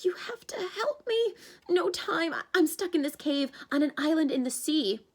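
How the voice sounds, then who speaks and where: A woman's voice: high-pitched, normal speed, voice pushed up. A woman voicing a jittery young male satyr who pleads and stammers in a panic. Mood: frantic.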